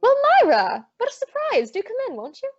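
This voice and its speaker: A woman's voice: extremely high pitch, wildly swooping pitch, brisk, a little mellow, exaggerated voice. A young woman playing a spirited female private detective, breezy and wry, in old-time radio detective style. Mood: bright, social. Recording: dull lo-fi audio, very dry.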